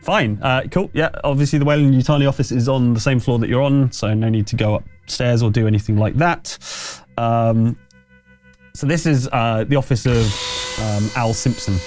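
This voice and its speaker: A man's voice: medium pitch, brisk, darkish tone. Guy game mastering a tabletop rpg, chatty stop-start narration, bit of music behind. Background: background music.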